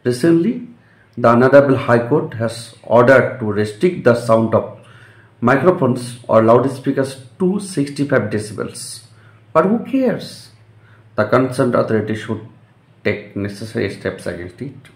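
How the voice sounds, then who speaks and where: A man from West Bengal with a Bengali Indian accent, a schoolteacher reading out a lesson in deliberate, emphatic phrases. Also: medium pitch, big pitch swings. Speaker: school English teacher. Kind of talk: instructional lesson, reading a model letter aloud. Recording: echoey room.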